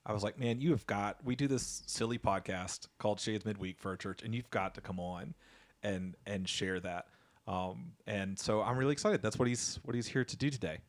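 A man's voice: lowish voice, normal speed. A man hosting a church podcast, introducing his guest in a friendly, rambling way. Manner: friendly, rambling, many fillers and restarts. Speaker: podcast host. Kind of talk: casual interview chat.

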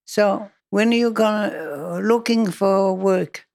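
A woman's voice: medium pitch, fairly even intonation, slow, creaky, a little mellow. An older woman recounting her working life in an oral history interview, speaking hesitant, broken English.

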